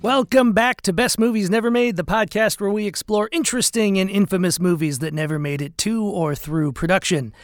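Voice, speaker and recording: A man's voice: sits high, brisk, hardly any pauses, soft background music. Chatty man co-hosting a podcast. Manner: chatty, rambling with fillers. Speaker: podcast co-host. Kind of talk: casual podcast chat.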